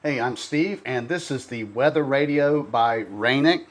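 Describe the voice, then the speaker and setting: A man's voice: mid-range voice, a little slow, a little hiss or hum. A man giving a casual, folksy and enthusiastic product review to camera.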